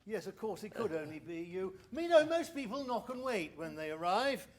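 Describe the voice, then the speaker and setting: An actor playing an exasperated, sardonic English army captain in a radio play, dry, clipped and sarcastic. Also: male voice, high-pitched, very animated, moderate pace. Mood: irritated, sarcastic.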